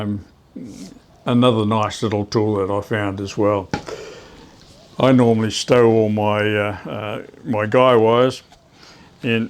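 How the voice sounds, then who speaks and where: A man with a medium-pitched voice presents a hands-on how-to in a casual, unscripted way. His delivery is halting, and he often breaks off mid-phrase to find the next word as he demonstrates. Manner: halting, pausing mid-phrase to search for words. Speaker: presenter of DIY radio tips.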